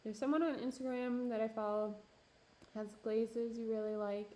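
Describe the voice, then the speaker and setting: A woman's voice: fairly high pitch, steady tone, moderate pace, dark tone. A young woman pottery streamer chatting casually with her chat while she works, with lots of fillers and laughs, and her voice starting to go. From Minneapolis–Saint Paul area. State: losing her voice. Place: home pottery studio.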